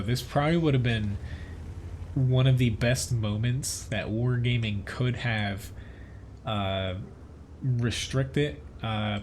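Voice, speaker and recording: A man with a fairly low voice and a Philadelphia accent commentates on his own game for a live stream. His talk is loose and informal, with a mildly exasperated, grumbling way about it. There is some faint noise behind him. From Philadelphia area, United States. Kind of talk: casual live gameplay commentary.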